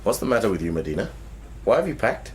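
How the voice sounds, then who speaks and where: A man playing an anguished middle-aged father searching for his son, earnest and halting when upset, in a naturalistic radio drama. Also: fairly low pitch, big pitch swings, darkish tone. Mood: exasperated. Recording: noisy ambient radio drama soundscape.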